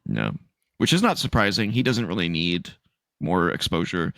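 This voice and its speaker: A man co-hosting a casual podcast, stuffy and sniffly from allergies, loose and laughing, swooping high for emphasis. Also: wildly swooping pitch, moderate pace, gravelly, a bit bright, no echo, clean studio sound. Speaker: podcast co-host. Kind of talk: casual chat.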